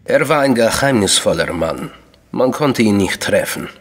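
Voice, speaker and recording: An actor playing an enigmatic Russian official in a crime radio drama, courteous, deliberate and coolly menacing. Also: male voice, fairly low pitch, sing-song, husky, long reverb tail. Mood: courteous, guarded.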